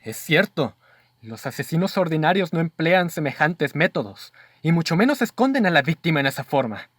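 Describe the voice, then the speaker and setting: A man's voice: fairly high pitch, sing-song, normal speed, fairly bright tone, dry recording, studio quality. An actor playing a doubtful male companion in a radio drama, questioning the case in a formal, earnest way. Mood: reasoning.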